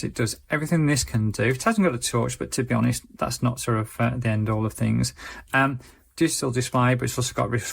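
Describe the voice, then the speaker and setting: Guy with a gravelly creaky voice doing a chatty gadget review, explains stuff casually, nothing scripted. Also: medium pitch. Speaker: gadget reviewer, channel host. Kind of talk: casual, unscripted product review to camera.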